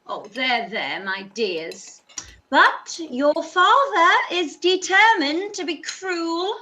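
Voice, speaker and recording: A woman's voice: very high-pitched, lively intonation, slow, pushed and bright, heavily acted. A woman playing a fussy, dramatic middle-aged mother in a live-read radio play, gushing and complaining. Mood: fretful.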